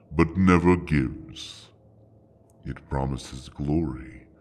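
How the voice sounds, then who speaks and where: A man's voice: extremely low pitch, darkish tone, full character voice. A man playing an ancient, imperious dragon in an audio drama, speaking in a grand, formal, menacing way. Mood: solemn, reflective. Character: ancient, imperious male dragon guarding a hoard of books.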